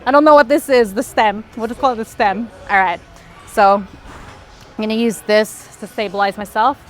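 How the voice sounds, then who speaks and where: A woman's voice: fairly high pitch, moderate pace, faint music behind. A woman fitness vlogger explaining exercises while she does them, a little out of breath, with effortful sighs and exhales. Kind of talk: casual workout instruction. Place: gym.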